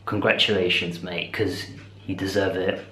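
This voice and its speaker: A British man in his twenties talking casually to camera in a rambling, slangy vlog style, speaking in animated bursts. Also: sits low, normal speed. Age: 20s. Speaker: vlogger. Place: small indoor room with bare walls. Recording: echoey, roomy sound.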